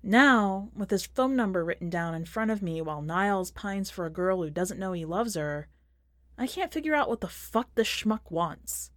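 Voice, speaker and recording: A woman reading a first-person short story in a measured, expressive audiobook style. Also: medium pitch, no echo. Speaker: podcast host and fiction reader.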